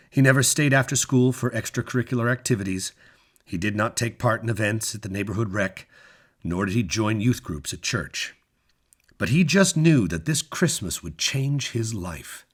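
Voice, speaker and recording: Deep-voiced man reading a story aloud. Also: breathy edge. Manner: measured, expressive storytelling with deliberate pauses. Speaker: story reader. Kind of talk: scripted dramatic story narration.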